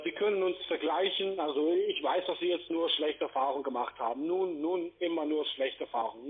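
Husky phone salesman, muffled line. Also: a little high. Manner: wordy, repetitive, insistent. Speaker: telephone sales agent. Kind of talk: cold-call sales pitch. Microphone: telephone.

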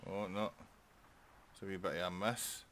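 A man's voice: deep voice, flat delivery, normal speed, fairly bright tone, slight background noise. A man giving casual let's play commentary, chatting and thinking aloud as he plays. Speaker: gaming channel host.

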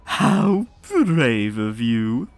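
A woman reading a comic aloud, voicing a gloating male creature villain in a pompous, theatrical, drawn-out way. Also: extremely low pitch, big pitch swings, darkish tone, no room sound, voice pushed down, full character voice. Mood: mocking.

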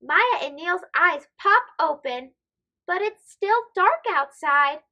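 A woman reading a children's storybook aloud in a careful, expressive, child-directed manner. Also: very high voice, slow, lo-fi sound.

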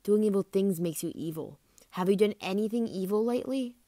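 A woman's voice: medium pitch, a little fast, warm tone, no room sound, clean studio sound, lightly acted. A woman voicing a blunt young female dragon who is exasperated and emphatically scolding. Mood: exasperated, reassuring.

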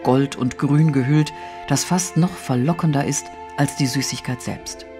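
A man narrating a literary audio drama in a measured storytelling style. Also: medium pitch. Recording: music under the voice. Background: music.

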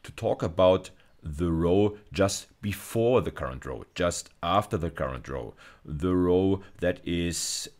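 A middle-aged man speaks in a low register. He lectures like a university teacher on video, explaining step by step. His phrasing is measured and emphatic, punctuated by brief checking tags. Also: lively intonation, darkish tone. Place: indoors, recorded lecture over slides.

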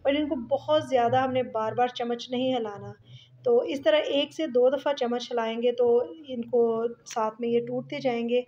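Chatty Pakistani woman host, slightly high, dull home recording, step-by-step kitchen narration. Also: mellow, slight background noise. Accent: Pakistani Urdu. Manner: chatty, explaining step by step with fillers. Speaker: cooking channel host. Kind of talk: home cooking tutorial voice-over.

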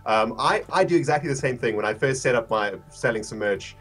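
A man with a mixed South African and English accent chats on a livestream over background music. He talks in a laid-back, slangy way at a middling pitch, like someone talking with a friend. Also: lively intonation, a little fast, fairly bright tone. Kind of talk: casual livestream chat.

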